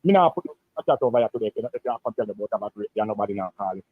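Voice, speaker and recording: A man's voice: lowish voice, animated, slightly rough, no echo. A man with a Jamaican accent calling in by phone, arguing and lecturing the hosts. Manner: argumentative, lecturing, rapid-fire. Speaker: phone-in caller. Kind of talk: heated call-in argument. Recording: tinny dry phone line.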